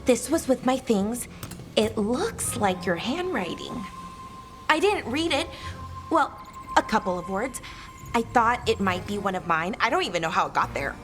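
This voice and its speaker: High, lively, flustered young-sounding woman. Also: a little slow. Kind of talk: dramatic video game acting. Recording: music behind the voice.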